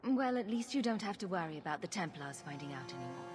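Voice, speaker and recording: An actress playing a composed adventurer heroine, direct and dry, in a fantasy video game. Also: female voice, fairly low pitch, big pitch swings, fast talker, loud music behind the voice. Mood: wry.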